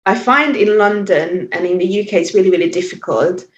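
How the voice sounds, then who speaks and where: A woman from Hungary in her forties, speaking English with a Hungarian accent, reflecting thoughtfully with pauses and fillers as a podcast guest. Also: medium pitch. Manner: thoughtful, hesitant, many fillers and restarts. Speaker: podcast guest, yoga teacher. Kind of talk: reflective interview talk. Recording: echoey, muffled remote audio.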